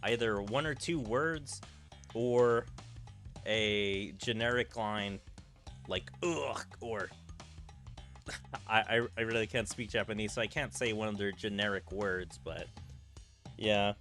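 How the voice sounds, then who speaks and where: A man's voice: on the low side, takes it slowly, pauses often, a bit bright. A man casually commentating on a game he is streaming, chatty and rambling with lots of fillers. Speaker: gaming streamer. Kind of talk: casual commentary while reading a game aloud. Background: faint game music.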